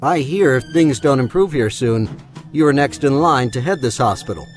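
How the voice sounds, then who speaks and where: Man playing self-important older patron, medium pitch, smooth-talking, scheming, audio drama, soft music behind. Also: expressive, normal speed, lightly acted. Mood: pompous, pressuring.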